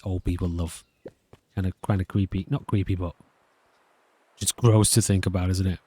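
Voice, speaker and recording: Low-voiced man commentating a game. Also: fairly even intonation, normal speed, dark tone, slightly rough. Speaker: gaming video host. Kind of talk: casual game playthrough commentary. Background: game music.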